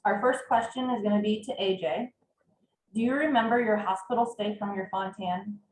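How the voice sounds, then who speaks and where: A woman's voice: medium pitch, normal speed, a little hiss or hum. A woman moderating an online panel and reading out questions, with roomy call audio.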